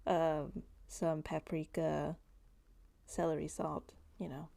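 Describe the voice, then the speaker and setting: A woman's voice: deep voice, little pitch movement, slow pace, gravelly, dark tone. A woman hosting a tabletop game as game master, chatting casually and narrating to her players.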